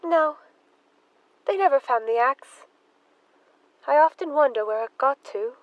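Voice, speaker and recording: A fretful, loyal spinster sister from a radio play, acted by a woman. Her voice is high and swings widely as she flutters, pleads and bristles in defense. The sound is dry and close. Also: expressive, a little slow, no echo, lo-fi sound. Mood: defensive. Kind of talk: theatrical radio drama acting.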